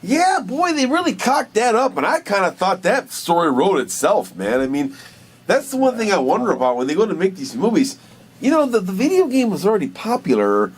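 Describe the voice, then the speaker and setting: Animated, tipsy man with swooping pitch. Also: brisk, slightly rough. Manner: profane, slangy, emphatic. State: drunk. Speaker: co-host. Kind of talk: casual chat. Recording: noticeable background noise.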